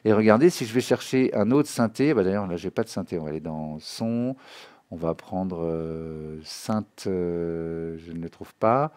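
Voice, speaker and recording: A man giving an informal spoken tutorial as a music software trainer, explaining as he demonstrates and often checking that listeners follow. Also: deep voice, measured pace. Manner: conversational, checks understanding often with short tag questions. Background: music and synth demo sounds.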